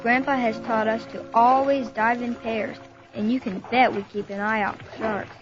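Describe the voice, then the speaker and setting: Woman voicing excited young teen, letter-style narration, fairly high pitch, muffled vintage record, music behind. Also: normal speed, close to an everyday voice. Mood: earnest storytelling. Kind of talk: 1970s children's adventure record narration.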